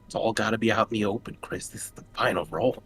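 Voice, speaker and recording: A man's voice: lowish voice, quick pace, a bit bright. A man chatting casually as a player at a tabletop roleplaying game.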